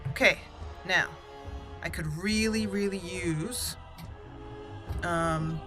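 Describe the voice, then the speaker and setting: A woman's voice: on the low side, slow, over music. A woman hosting a game playthrough, fretfully talking through each move as she plays. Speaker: let's play host. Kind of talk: gameplay commentary.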